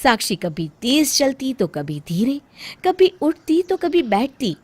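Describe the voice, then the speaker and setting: A woman storyteller reading a scripted tale in an expressive narrating style. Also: high-pitched, unhurried, breathy edge, dry recording.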